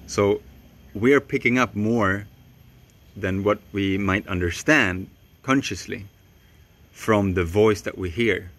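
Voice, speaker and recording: Slow, calm young Swedish man teaching. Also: on the low side. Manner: slow, calm, deliberate with long pauses. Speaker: voice coach. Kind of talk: teaching to camera. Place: outdoors in a tropical garden.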